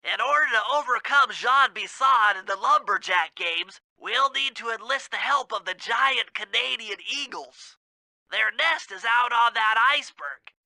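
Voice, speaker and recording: A man voicing a small, brainy, fussy male turtle in a video game, with a high, pinched, precise delivery. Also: measured pace, pushed and bright, no room sound, exaggerated voice, low-quality recording. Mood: instructive. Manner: precise, wordy, bookish. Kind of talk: video game character voice acting.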